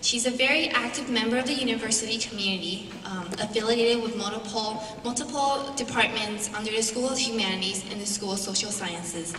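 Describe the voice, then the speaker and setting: A woman's voice: mid-range voice, bright, forceful tone, a little hiss or hum. A woman moderator introducing the next presenter at a symposium, with many ums and small stumbles. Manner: reads introduction with many ums and a self-correction. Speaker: event moderator. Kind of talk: speaker introduction. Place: large lecture hall. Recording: echoey hall, far from mic.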